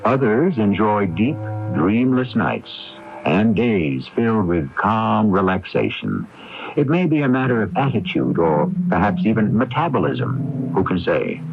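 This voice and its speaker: A man's voice: lowish voice, very animated, unhurried, gravelly, slight background noise. A man hosting a radio mystery series with wry, theatrical scripted narration. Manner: wry, sardonic. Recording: muffled AM radio recording. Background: music.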